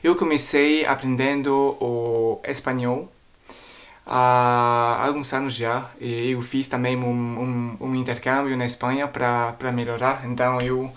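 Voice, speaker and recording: A male vlogger with a foreign accent and French as his first language gives a casual, explanatory talk. His voice sits at a middling pitch. He hesitates as he looks for words and often repeats himself. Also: flat delivery, measured pace, fairly bright tone.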